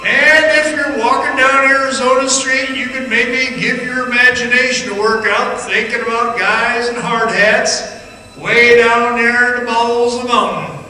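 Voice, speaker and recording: Male actor as haunted ex-mine guide, radio drama monologue. High-pitched, forceful, folksy and ominous, recorded far off in an echoing hall. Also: steady tone, heavily acted. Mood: folksy, wry. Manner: folksy, rambling, ominous, halting with pauses. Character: haunted middle-aged former copper-mine guide recounting a dark tale. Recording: roomy echoing hall, distant mic, faint noise.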